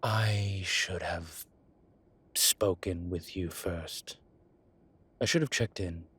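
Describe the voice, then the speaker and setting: Man playing a deep, husky demon professor. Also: fairly bright tone, exaggerated voice. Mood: contrite. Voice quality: husky, breathy low voice. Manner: slow, halting, measured delivery with sighs. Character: ancient male demon professor, gruff but contrite and caring. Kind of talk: intimate audio roleplay to one listener.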